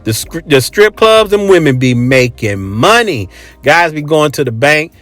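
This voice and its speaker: American man, lively swooping intonation, casual opinionated host, blunt, profane, emphatic repetition, faint background music. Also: fairly high pitch, a little slow, dry recording. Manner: profane, blunt, emphatic, repeats phrases for effect. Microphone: close microphone.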